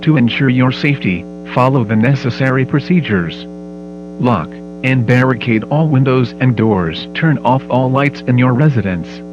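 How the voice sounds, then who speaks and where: A man's voice: medium pitch, lively intonation, measured pace, music in the background, close to an everyday voice. A man's voice as a grave automated civil emergency announcer, reading formal scripted instructions on a lo-fi broadcast. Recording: dull lo-fi broadcast, loud mains hum.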